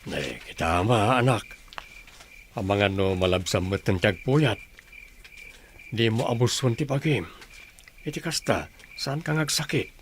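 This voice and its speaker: A man's voice: fairly low pitch, expressive, measured pace, long pauses. A man playing a caring, worrying, hardworking father in an Ilocano radio drama, with a Filipino accent. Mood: gentle concern. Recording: faint background noise.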